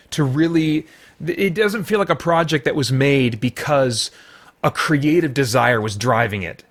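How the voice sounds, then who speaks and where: A man giving an unscripted movie review as a host, speaking emphatically in a rambling, halting way with repeated false starts. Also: medium pitch, fairly bright tone. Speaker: podcast host and film reviewer.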